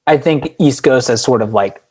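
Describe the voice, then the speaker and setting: A man's voice: mid-range voice, normal speed, a little mellow, slightly rough. A man speaking as an interview guest in a casual, rambling and reflective way, with a self-deprecating streak. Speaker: podcast guest, indie band frontman.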